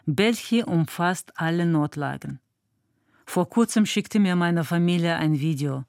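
A woman giving measured, thoughtful answers as an interviewee. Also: fairly low pitch, no echo, studio quality. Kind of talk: calm reflective answers.

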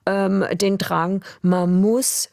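A woman's voice: medium pitch, fairly even intonation, very slow, a little mellow, no room sound, studio quality. A middle-aged woman from southwest Germany with an Alemannic German accent, answering interview questions in a chatty, hesitant way with many fillers. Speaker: journalist guest.

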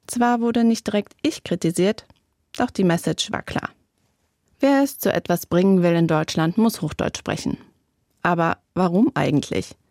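A woman reading her own radio commentary in a measured, reflective and lightly ironic way. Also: fairly low pitch, a little mellow, dry recording. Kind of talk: scripted radio essay. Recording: dry studio recording.

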